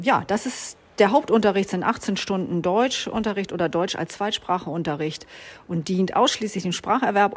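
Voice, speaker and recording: A school principal explains a class program in a calm, measured way, pausing now and then to find her words. Her voice sits a little low for a woman. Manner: measured explaining with occasional hesitations. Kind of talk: explanatory interview answer.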